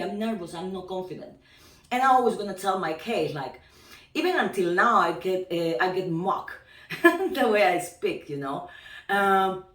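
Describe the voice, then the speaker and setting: A Spanish-speaking woman from Peru talks to camera in a loose, chatty way, full of restarts and quick laughs. Her strong Peruvian accent colors every word. The sound is roomy and slightly distant, as if the mic sits a few feet away. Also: fairly low pitch. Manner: chatty, many fillers and restarts, frequent laughs. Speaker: Spanish teacher. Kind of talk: casual talk to camera.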